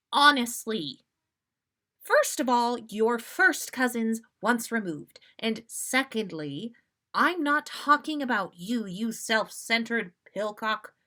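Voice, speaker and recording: A woman's voice: a little high, sharp tone, pitched above the performer's own voice, full character voice. A woman voicing a stern, no-nonsense storybook mother with an exasperated, firm, matter-of-fact manner. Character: stern, no-nonsense, scolding mother of troublesome twins.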